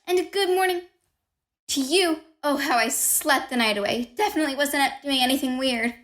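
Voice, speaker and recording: Echoey, high-voiced unhinged killer acted by a woman. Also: moderate pace, fairly bright tone. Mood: sly, secretive. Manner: intense, dramatic, emphatic. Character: unhinged killer insisting on their own sanity. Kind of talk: gothic horror audio play monologue. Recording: echoey room with long reverb.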